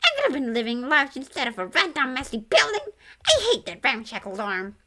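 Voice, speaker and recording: A woman's voice: high voice, huge pitch swings, a little fast, pitched above the performer's own voice, exaggerated voice. A woman voicing a grumbly, indignant little magical creature with brash, complaining delivery in a storybook read-along. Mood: indignant shouting. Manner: brash, complaining, loud outbursts.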